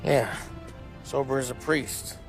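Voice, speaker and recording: A man playing a brash, hot-headed American gangster in a video game cutscene, gravelly, cocky and full of profane street slang. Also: medium pitch, little pitch movement, takes it slowly, music in the background. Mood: irritated, joking.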